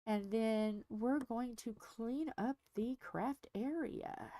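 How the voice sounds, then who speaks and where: Slow-talking woman chatting on a craft livestream. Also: on the high side, mellow, no echo. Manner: rambling, thinking aloud with many fillers. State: stuffy. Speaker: diamond painting livestream host. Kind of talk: casual livestream chat.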